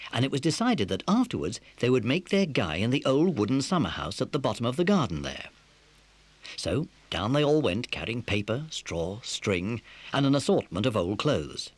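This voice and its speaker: A man narrating a scripted children's story as an expressive storyteller. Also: mid-range voice, normal speed.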